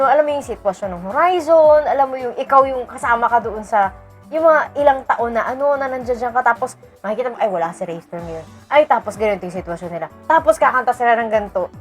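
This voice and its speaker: A woman's voice: sits high, animated, fast. A Filipino woman chatting casually as a fan commentator, talking emphatically and repeating her phrases. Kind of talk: casual reaction chat. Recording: music playing behind the voice.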